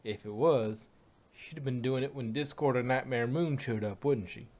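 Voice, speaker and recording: A performer voicing a plain-spoken, steady female farm pony with a folksy, reassuring Southern US drawl. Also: mid-range voice, moderate pace, natural voice. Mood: firm, calming.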